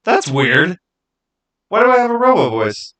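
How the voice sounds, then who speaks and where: Higher-voiced man, casual emphatic streamer. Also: very animated, a little slow, a bit bright. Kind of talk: casual live chat explaining game lore.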